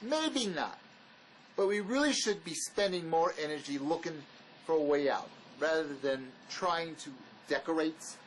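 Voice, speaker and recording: An actor playing a wary, blunt escaped convict in a drama, speaking in an argumentative, insistent manner. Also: male voice, fairly high pitch, a little slow, slight background noise, lightly acted, rough recording. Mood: exasperated, arguing. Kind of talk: dramatic dialogue reading.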